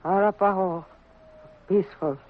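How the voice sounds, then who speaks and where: Radio western actress as Arapaho wife: halting, terse broken English, very slow delivery, thin vintage broadcast sound. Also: female voice, mid-range voice, steady tone, close to an everyday voice. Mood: reluctant, halting. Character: Arapaho wife, halting, loyal to her violent husband. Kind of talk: 1950s radio western acting. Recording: tinny, narrow-band old radio recording.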